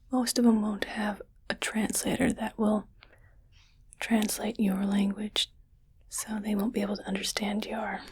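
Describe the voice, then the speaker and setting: A woman playing a condescending, curious rich alien in a slow, breathy ASMR whisper, deliberate and detached. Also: mid-range voice. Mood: condescending, mildly disgusted.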